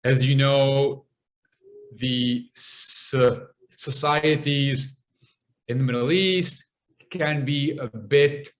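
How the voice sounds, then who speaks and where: A young man from Lebanon who stutters, speaking as a guest in a podcast interview, with long pauses and repeated sounds. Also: mid-range voice, fairly even intonation, poor audio.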